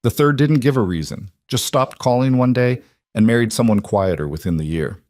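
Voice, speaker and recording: A man voicing a plainspoken woman seamstress in her late thirties as he reads her letter in a steady, blunt way. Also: mid-range voice, moderate pace, dry recording, clean studio sound, close to an everyday voice. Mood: frank.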